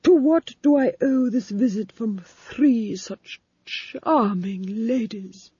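A narrator voicing a theatrical man who speaks in grand, overly polite phrases, slow and husky. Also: mid-range voice, lively intonation, warm tone, no echo, dull audio, pitched above the performer's own voice, exaggerated voice. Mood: flowery. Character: flowery, theatrical man, a playwright.

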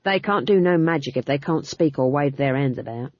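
Woman doing a gruff, surly guard. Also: on the high side, a little mellow, dry recording, dull audio, lightly acted. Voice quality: gruff, hurried. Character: nervous, surly male guard.

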